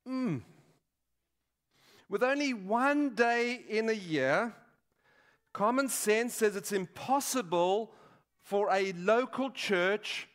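A man in his sixties preaching a sermon in a deliberate, emphatic way, pausing between short phrases. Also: sits high, fairly bright tone. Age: 60s. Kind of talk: sermon with statistics and teaching. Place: large dim auditorium stage at a lectern.

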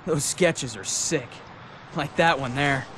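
An actor playing a brash, eager young skater with slangy talk in a video game. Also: male voice, on the high side, slow pace. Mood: eager, impressed. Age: young adult. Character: cocky, eager young small-town skater guy. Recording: loud vehicle and street noise behind.

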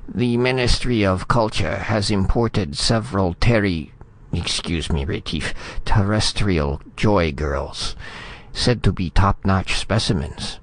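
A man's voice: low voice, takes it slowly. A man playing a boisterous, lecherous alien king in a storybook reading, with grandly formal, jovial speech.

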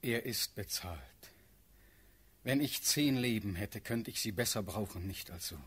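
An actor playing an ardent, impetuous young prince in a classical radio drama, with a breathy, very husky voice and impassioned delivery. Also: male voice, fairly low pitch, unhurried, fairly bright tone. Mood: urgent.

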